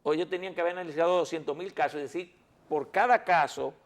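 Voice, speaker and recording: A man's voice: a little high, fast talker. A man, a lawyer guest on a talk show, arguing insistently and repeating words to hold the floor. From Dominican Republic. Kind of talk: heated talk-show debate.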